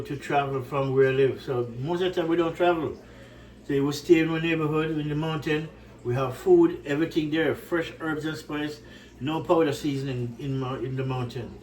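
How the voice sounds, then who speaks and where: A man's voice: mid-range voice, far from the mic, rough recording. A man from Jamaica with a Jamaican accent, chatting casually to his cooking channel viewers in his home kitchen, rambling and asking "right?" a lot. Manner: warm, repetitive, rambling, often says "right?".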